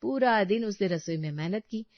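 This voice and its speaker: A woman's voice: mid-range voice, animated, normal speed, dry recording, muffled recording. A woman narrator reading a scripted family story as a storyteller.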